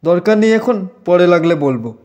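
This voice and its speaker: A man's voice: sits high, animated, moderate pace, darkish tone. A man acting a curt, bossy office worker who orders his servant about in an audio story. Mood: curt, dismissive. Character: exasperated male office worker, master of a servant.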